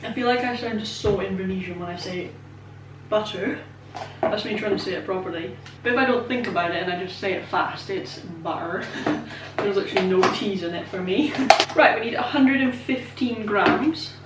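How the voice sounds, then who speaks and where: A woman's voice: mid-range voice, normal speed, far from the mic, very noisy. Chatty young Scottish woman in an echoey kitchen. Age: 20s. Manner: chatty, rambling, self-deprecating, many "um" and "like" fillers. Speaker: vlogger. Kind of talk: casual baking vlog chat. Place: home kitchen. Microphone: camera set up away from her.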